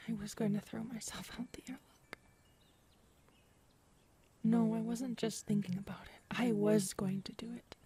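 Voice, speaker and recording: A woman acting in an intimate sci-fi audio roleplay as a gentle, grieving character, speaking softly and haltingly, often close to a whisper. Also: medium pitch, husky, exaggerated voice. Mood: subdued, confessional. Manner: halting, soft, hesitant, often dropping to a whisper.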